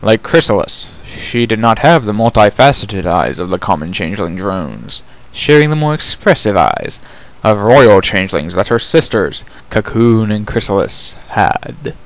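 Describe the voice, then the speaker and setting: A man's voice: lowish voice, sing-song, unhurried. A man narrating a fantasy story as a scripted dramatic reading.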